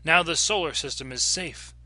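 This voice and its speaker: Man voicing a fierce, commanding adventuress, raised pitch. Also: moderate pace, no room sound. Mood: vengeful, triumphant. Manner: commanding, forceful. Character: fierce, vengeful, demanding woman adventurer. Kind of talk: dramatic audiobook character reading.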